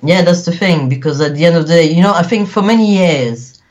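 A man's voice: fairly high pitch, fairly quick. Belgian French-accented man, earnest and rambling. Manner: earnest, rambling, many fillers. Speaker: guest actor. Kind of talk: casual interview.

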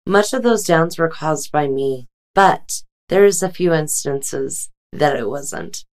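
A woman's voice: deep voice, lively intonation, slow pace. A woman giving a scripted personal testimony. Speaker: testimony giver.

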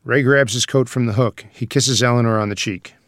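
A man's voice: medium pitch, steady tone, brisk, a bit bright, dry recording, clean studio sound. A man reading stage directions as scripted narration for a screenplay table read.

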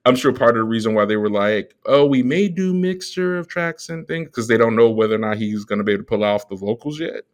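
A man co-hosting a casual film commentary, talking in a measured, halting way as he thinks aloud. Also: mid-range voice, expressive. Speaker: podcast co-host.

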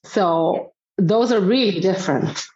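A woman from Israel, a singing teacher talking frankly and thoughtfully, her voice slightly hoarse. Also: mid-range voice, a little slow, darkish tone, highs cut off. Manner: thoughtful, frank, laughs often. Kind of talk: casual interview chat.

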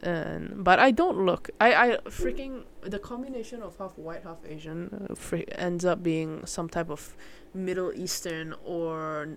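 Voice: mid-range voice, a little slow, gravelly, hardly any pauses, slight background noise. A young adult from Malaysia chatting casually and solo as a podcast host, in a rambling, informal way that often breaks off and restarts with mild slang.

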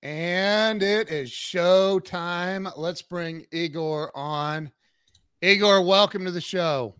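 Male show host, middle-aged, unhurried delivery with deliberate emphasis, clean resonant voice, casual and upbeat. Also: sits high, fairly bright tone, smooth, no room sound, studio quality. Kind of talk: casual, enthusiastic interview hosting.

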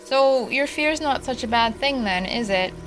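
A woman's voice: a little high, lively intonation, a little slow, fairly bright tone, poor audio. A woman acting a curious, reassuring member of an island field team in an audio drama. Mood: curious, encouraging. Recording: music under the dialogue.

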